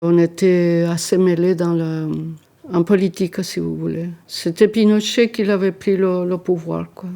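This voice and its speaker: An older woman from Chile telling her story in a halting way, searching for words. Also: on the low side, steady tone, gravelly, mellow. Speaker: interviewee. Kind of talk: personal testimony.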